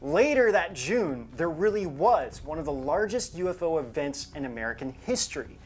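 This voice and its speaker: A man's voice: fairly high pitch, sing-song, moderate pace. A man co-hosting a show, narrating scripted material in an emphatic, conversational storytelling way. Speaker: show co-host.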